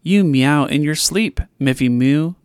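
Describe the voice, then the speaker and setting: A man's voice: on the high side, a little slow, clear, resonant tone, no room sound, studio quality, close to an everyday voice. A man doing a teasing little gecko. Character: teasing gecko, a small lizard.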